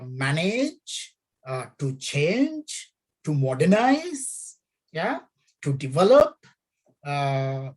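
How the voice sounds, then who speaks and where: A middle-aged woman from Sri Lanka, an academic talking through her research in a hesitant way, with many fillers and repeated key phrases. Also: fairly low pitch. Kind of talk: conversational interview.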